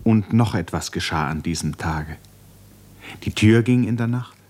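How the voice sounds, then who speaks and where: A man narrating a 1950s German radio drama in a grave, literary style, measured and solemn with dramatic pauses. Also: fairly low pitch, expressive, darkish tone. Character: grave, literary male narrator of a tragic novel.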